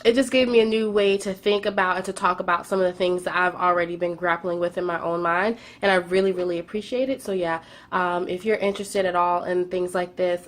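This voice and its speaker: A young woman chatting through her monthly book wrap-up, a bit congested from a cold, with frequent "um"s and words doubled for emphasis. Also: lowish voice. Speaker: book vlogger. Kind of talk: casual monthly reading wrap-up.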